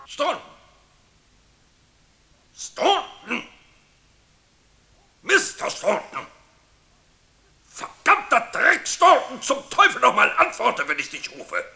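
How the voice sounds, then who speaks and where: An actor playing an irascible ship's captain in a radio play, swearing, barking orders and switching between muttering and shouting. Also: very high-pitched, huge pitch swings, takes it slowly, gravelly, pauses often, heavily acted. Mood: furious. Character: irascible, bellowing male ship's captain, bewildered and commanding.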